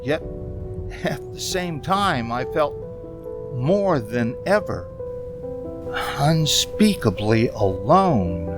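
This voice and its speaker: A man playing a haunted, frightened driver who recounts an eerie road journey in a halting, stammering radio-drama style. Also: mid-range voice, big pitch swings. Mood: brooding dread. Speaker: amateur community theater actor. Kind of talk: dramatic first-person radio play narration. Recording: music under the voice.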